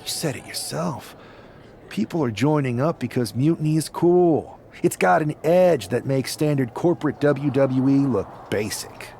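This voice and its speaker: Scheming newcomer wrestler, medium pitch, emphatic, earnest, video game cutscene, slight background noise. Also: male voice, animated, a little slow, vocal fry, darkish tone. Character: ambitious male newcomer wrestler, scheming rebel leader.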